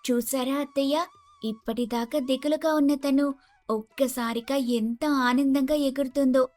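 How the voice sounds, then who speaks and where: A woman playing an earnest young daughter-in-law in an animated moral story, over music. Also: high voice, a little slow, no echo. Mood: pleased. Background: faint music.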